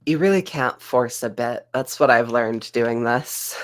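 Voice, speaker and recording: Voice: medium pitch, unhurried. A podcast panelist chatting casually in a joking, wry manner. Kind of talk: casual group podcast chat.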